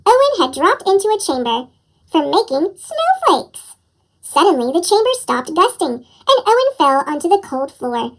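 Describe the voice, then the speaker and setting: Squeaky, very high chipmunk storybook narrator. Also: moderate pace, rough recording. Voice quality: squeaky, childlike chipmunk-style voice. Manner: animated storytelling. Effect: chipmunk voice pitch-up effect. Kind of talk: children's picture-book read-aloud.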